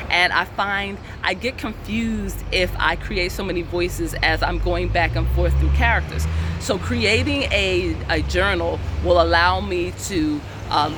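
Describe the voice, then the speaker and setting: A man who runs a channel as an indie author, giving casual advice with frequent "uh" pauses. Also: high voice. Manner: relaxed, many "uh" pauses, repeats himself. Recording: noisy recording with traffic outside.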